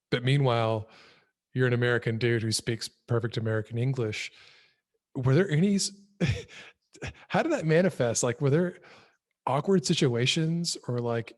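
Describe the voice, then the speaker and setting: Thoughtful male podcast host. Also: mid-range voice. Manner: thoughtful, rambling questions. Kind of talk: interview questions.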